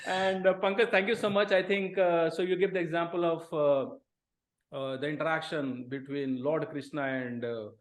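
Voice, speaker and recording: A middle-aged man with an Indian English accent hosts an interview over a video call, warmly effusive and lavish with praise. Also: a little high, fairly even intonation, normal speed. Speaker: host.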